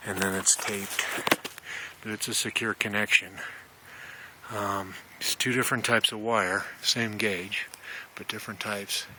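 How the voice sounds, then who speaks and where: A man with a fairly low voice gives a casual, folksy walk-through in the manner of a hobbyist. He rambles as he explains, speaking into a handheld camera outdoors. The recording carries clear background noise. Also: little pitch movement, a little slow, pauses often, sharp tone. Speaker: amateur radio hobbyist. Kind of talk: casual handheld video tour. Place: outdoors in wooded mountain country. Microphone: handheld camera microphone. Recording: noisy outdoor camera audio.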